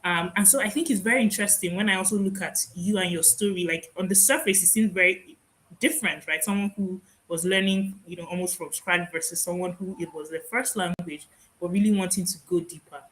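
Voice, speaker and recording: A woman's voice: on the low side, a little fast, a bit bright, low-quality recording. A woman hosting a podcast interview, from Nigeria with Igbo as her first language, talking reflectively and laughing often. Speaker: podcast host. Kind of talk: conversational interview.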